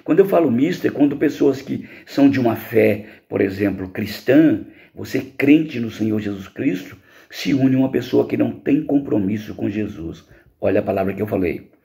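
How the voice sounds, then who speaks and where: Brazilian male, middle-aged, youth Bible teacher: gravelly, expressive, didactic, informal. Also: medium pitch, moderate pace. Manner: didactic, emphatic, asks rhetorical questions and answers them. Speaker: pastor teaching a lesson to teens.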